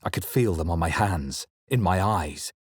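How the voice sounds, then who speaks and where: A man playing a grave fantasy game adventurer, with solemn, measured, dramatic delivery as he narrates his journey. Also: low-pitched, animated, slightly rough, no echo, studio quality. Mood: solemn, intent. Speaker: video game player-character voice.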